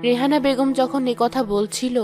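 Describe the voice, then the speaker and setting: A woman's voice: sits high, fairly even intonation, moderate pace, music in the background. Woman narrating a cartoon story.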